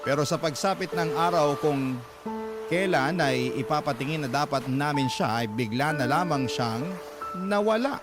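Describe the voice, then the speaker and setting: A man's voice: on the high side, lively intonation, moderate pace, close to an everyday voice. A man acting a troubled young letter writer with a Filipino accent, narrating a family story earnestly in a radio drama. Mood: somber, earnest. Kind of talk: dramatic first-person scripted narration. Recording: loud music under the voice.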